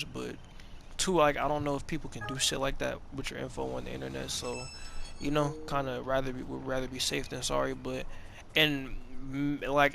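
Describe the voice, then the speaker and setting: A man's voice: fairly high pitch, vocal fry, noisy background. A man of about twenty talking casually and profanely over gameplay, rambling with many restarts and slang. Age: 20s. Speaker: gaming video creator.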